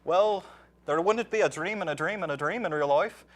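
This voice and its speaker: A man's voice: sits high, normal speed, lightly acted. A man playing a boastful, hard-drinking Irishman, rambling and joking. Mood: blustering. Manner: blustering, jokey, rambling with fillers. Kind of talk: comic banter.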